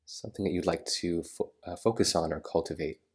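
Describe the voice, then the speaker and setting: A man guiding a meditation in a soothing manner, with unhurried phrasing and gentle pauses. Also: low voice, husky. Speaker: yoga nidra meditation guide. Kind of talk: guided meditation.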